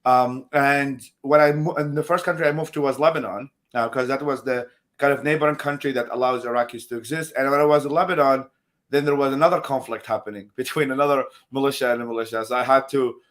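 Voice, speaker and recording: A man in his thirties, originally from Iraq, tells his own story as an interview guest. His voice sits at an ordinary middle pitch. He speaks reflectively, pausing on ums and uhs as he recalls each part. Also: fairly even intonation, fairly bright tone. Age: 30s. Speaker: guest, nonprofit founder.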